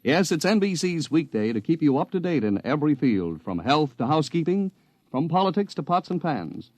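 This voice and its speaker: Upbeat vintage radio promo announcer. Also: male voice, on the high side, very animated, moderate pace, darkish tone, no room sound. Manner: upbeat, salesy. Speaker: network commercial announcer.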